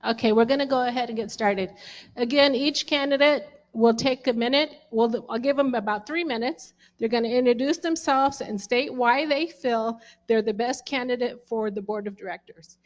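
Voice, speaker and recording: A woman's voice: fairly high pitch, normal speed, dull audio. Woman moderator explaining meeting rules. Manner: organized, instructive, with "um" fillers. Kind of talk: announcing meeting guidelines.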